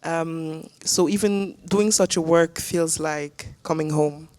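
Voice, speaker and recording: A woman's voice: low-pitched, steady tone, takes it slowly, a little mellow, no room sound. A woman from Cameroon presenting a listening session to an audience, talking casually with many fillers and restarts.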